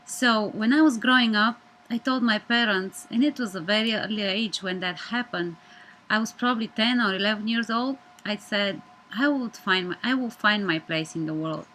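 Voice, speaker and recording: A woman's voice: mid-range voice, moderate pace, bright, forceful tone, rough recording. Earnest Bulgarian-accented woman. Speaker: media student. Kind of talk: scripted personal presentation.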